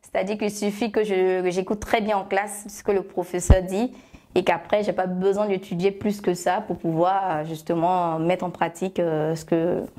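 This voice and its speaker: A woman in her thirties speaks with an Ivorian French accent in a lowish voice. She talks easily and chattily, with plenty of fillers and restarts, recorded on a lapel mic in a small bright studio. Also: level tone, moderate pace. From Côte d'Ivoire. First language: Agni. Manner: chatty, many fillers and self-corrections. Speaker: guest, love shop founder. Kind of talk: relaxed interview answers.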